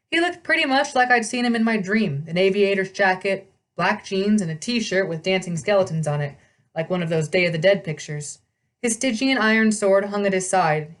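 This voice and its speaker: A woman narrating a storybook aloud in the first person, expressively, as a storyteller. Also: mid-range voice.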